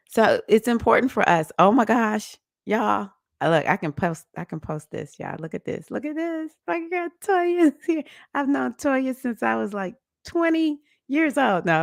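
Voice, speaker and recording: Low-voiced chatty woman in her fifties. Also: creaky, no room sound, clean studio sound. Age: 50s. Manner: chatty and animated, laughs often, greets viewers by name. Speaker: livestream host. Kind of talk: casual livestream chat on astrology.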